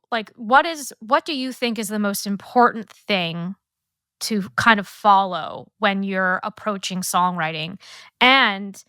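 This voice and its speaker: A woman interviewer asking relaxed, hesitant questions and agreeing readily. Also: medium pitch, smooth, dry recording, studio quality. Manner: friendly, agreeable, hesitant phrasing.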